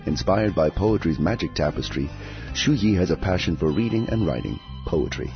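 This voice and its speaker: A man hosting a poetry podcast, reading scripted introductions and sign-offs in a lively, announcing way. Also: deep voice, moderate pace, lo-fi sound, soft background music. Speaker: podcast host.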